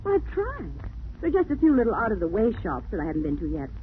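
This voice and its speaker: An actress playing a fussy, determined young society lady in a 1940s radio drama, with a crisp, chiding manner. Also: medium pitch, huge pitch swings, quick pace. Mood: fussy, exasperated. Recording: tinny, noisy vintage radio recording.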